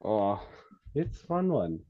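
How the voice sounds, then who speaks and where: A man's voice: on the low side, sing-song, slow, highs cut off, a crowd in the background. A young man chatting casually on a group video call. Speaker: amateur table-read participant.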